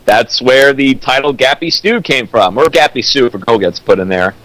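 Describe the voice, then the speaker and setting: A man riffing on a fan fiction with a co-host, tossing in dry, quick wisecracks. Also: mid-range voice, fairly bright tone, low-quality recording. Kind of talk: comedic commentary.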